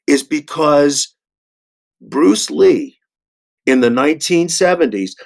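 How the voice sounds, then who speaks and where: A man in his sixties talks in an informal discussion at a slow pace. His voice sits a little high for a man, and he repeats words and stops and restarts as he presses his point. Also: lively intonation, gravelly, darkish tone. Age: 60s. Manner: halting, repeats words, emphatic. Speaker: panelist. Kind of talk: impassioned informal panel discussion.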